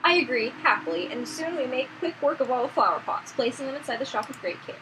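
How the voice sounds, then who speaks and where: A woman's voice: sits high, animated, fast talker. A woman co-hosting a let's play, reading the story narration aloud in a casual way. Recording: noisy room, makeshift mic.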